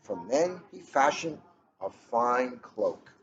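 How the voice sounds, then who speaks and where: A middle-aged man with unhurried, deliberate phrasing, chatting casually and reading a storybook aloud. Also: medium pitch, sing-song. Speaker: police officer reading a picture book aloud. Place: coffee shop, indoors. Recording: muffled, noisy room recording.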